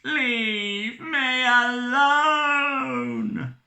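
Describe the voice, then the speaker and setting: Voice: mid-range voice, takes it slowly, pushed and bright, voice pushed up. Storyteller doing a drunk melodramatic ship captain, over the top, echoey room. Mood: anguished. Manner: theatrical, wailing, anguished.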